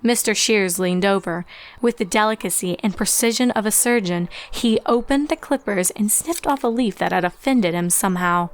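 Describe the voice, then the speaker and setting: A woman's voice: mid-range voice, normal speed. A woman reading scripted storybook narration as the narrator of a fan fiction tale. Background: music.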